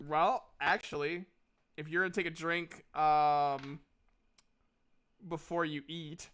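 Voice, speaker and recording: A man working as game master, narrating casually and haltingly with repeated words. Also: a little high, fairly even intonation, fairly bright tone, smooth.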